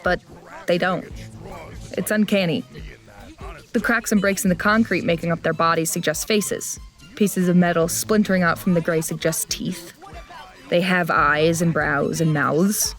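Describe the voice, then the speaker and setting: Low-voiced, blunt young traveler. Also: female voice, steady tone, unhurried, a bit bright, soft background music. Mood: tense, descriptive. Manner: dry, irritable. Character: blunt, impatient young woman traveler who narrates. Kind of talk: audio fiction narration and acting.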